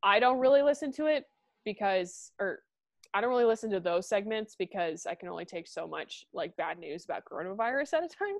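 A young woman from the Midwest, co-hosting a podcast in excitable, chatty talk that slips into casual swearing. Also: mid-range voice, brisk, dry recording, studio quality. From American Midwest. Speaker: podcast co-host. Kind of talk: casual chat.

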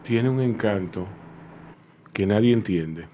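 A man's voice: on the low side, slow, mellow. A man with a Dominican accent hosting a casual radio chat, emphatic and prone to repeating his phrases. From Santo Domingo, Dominican Republic. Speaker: radio interview host. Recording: faint background noise.

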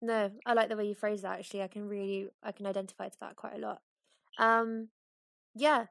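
A woman from Kenya co-hosting a podcast, chatting in an animated, storytelling way with lots of fillers. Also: mid-range voice, fairly quick, creaky, no echo, clean studio sound. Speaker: podcast co-host. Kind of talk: casual conversation.

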